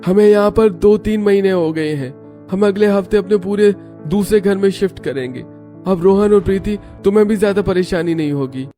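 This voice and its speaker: A man's voice: high-pitched, normal speed, warm tone, soft background music, pitched above the performer's own voice, lightly acted. A man voicing a mild, matter-of-fact family member in a cartoon drama. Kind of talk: Hindi cartoon dubbing.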